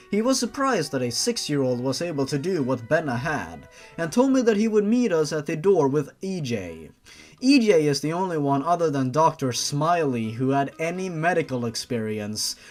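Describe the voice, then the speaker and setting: A man reading fan fiction aloud and breaking off for exasperated, mocking, sarcastic asides. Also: fairly high pitch. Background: background music.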